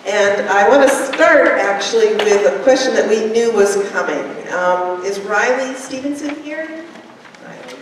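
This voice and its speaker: An older woman moderating a forum with a handheld mic, relaxed and a little hesitant. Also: medium pitch, a little slow. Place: large school gym, indoors. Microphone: handheld mic at a podium. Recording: echoey gym from far off, crowd noise.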